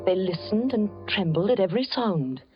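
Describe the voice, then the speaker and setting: An actress playing a polite, curious young woman in a 1940s radio drama, gracious and gently probing. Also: mid-range voice, lively intonation, normal speed, natural voice. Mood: diary narration. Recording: dry, dull 1940s radio recording with faint music.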